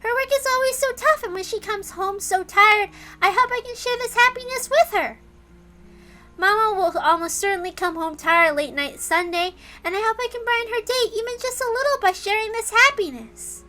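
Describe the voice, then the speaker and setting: A woman's voice: very high voice, moderate pace, pushed and bright, faint music behind, voice pushed up. A woman voicing a cheerful, innocent young girl with a gushing, childlike manner, reading a visual novel in character. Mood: wistful, hopeful.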